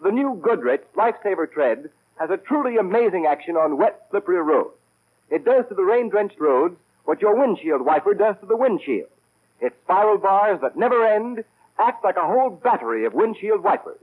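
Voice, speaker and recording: A man's voice: high voice, sing-song, normal speed. A male announcer delivering scripted copy in a formal, declamatory 1930s radio style. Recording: thin, tinny 1930s radio recording.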